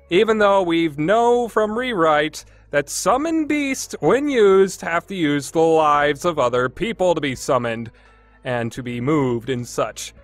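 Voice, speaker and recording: A man's voice: a little high, no echo. A man giving a casual, opinionated review as a podcast host, with a sarcastic, exasperated manner.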